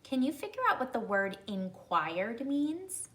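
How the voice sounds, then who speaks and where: A woman reading a children's story aloud, addressing young listeners deliberately and pausing to ask them questions. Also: on the high side, very animated, a bit bright.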